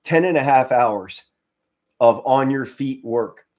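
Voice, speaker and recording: A middle-aged man from Pennsylvania commentates a game live for his stream, his voice hoarse and worn. He speaks low and restrained to spare his throat, then breaks into short excited bursts. His pitch sits in the middle of the male range. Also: takes it slowly. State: hoarse, straining to save his voice. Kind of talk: casual live play-by-play commentary.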